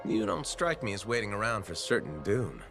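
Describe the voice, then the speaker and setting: An actor voicing a plain-spoken, curious freelancer hero with a dry, conversational manner in a video game. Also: male voice, lowish voice, big pitch swings, moderate pace, music in the background. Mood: curious, wry. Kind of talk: video game dialogue acting.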